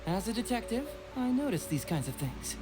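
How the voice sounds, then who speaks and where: Young game detective, breezy n shrewd, light teasing delivery, clear music under him. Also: male voice, sits high, sing-song, brisk, low-quality recording. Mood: polite, breezy. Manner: casual, smooth, teasing.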